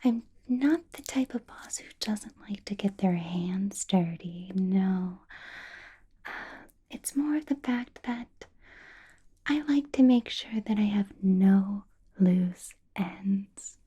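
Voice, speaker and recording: Woman performing a vampire crime boss, ASMR roleplay, heavily breathy whisper, soft mellow tone, doting, quietly menacing. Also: medium pitch. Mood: reassuring, sly. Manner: slow, breathy whisper with silky, drawn-out phrasing.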